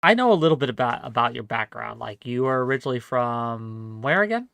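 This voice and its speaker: Brief: probing woman moderator, very low voice, dry close sound. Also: sing-song, brisk, creaky, fairly bright tone, no room sound. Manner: probing, questions others. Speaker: debate moderator. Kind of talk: moderating an online debate. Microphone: voice call.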